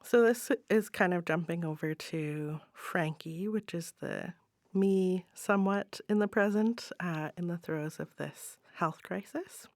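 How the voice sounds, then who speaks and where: A woman's voice: on the low side, unhurried, no echo. Thoughtful, tentative woman in studio chat. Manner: thoughtful, tentative, hedging with soft trail-offs. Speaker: guest playwright and spoken word poet. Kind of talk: casual interview chat. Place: studio, in person.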